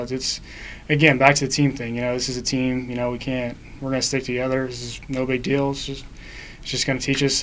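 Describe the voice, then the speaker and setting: A man's voice: medium pitch, a little hiss or hum, poor audio. A man in his twenties, a college baseball player, giving matter-of-fact answers at a postgame press conference. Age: 20s. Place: indoor press room.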